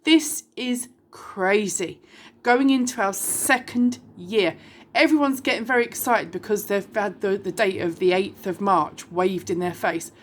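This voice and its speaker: A woman's voice: mid-range voice, unhurried, slight background noise. A British woman in her forties, from the UK, speaking bluntly to her viewers in a candid monologue, full of rhetorical questions and the odd swear word. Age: 40s. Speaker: vlogger.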